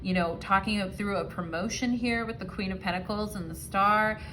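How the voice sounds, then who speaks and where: A woman's voice: mid-range voice, moderate pace, sharp tone, slight background noise, low-quality recording. A woman giving a casual, rambling tarot reading to her viewers, often checking in with "okay" and "you know". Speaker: tarot reader.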